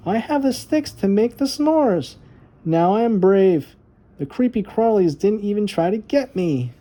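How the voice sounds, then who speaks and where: An earnest little girl from a storybook, acted out by a man, determined to sound brave. The voice sits well above a man's usual pitch, with a soft, mellow tone. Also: expressive, measured pace. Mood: proud. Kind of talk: children's storybook read-aloud.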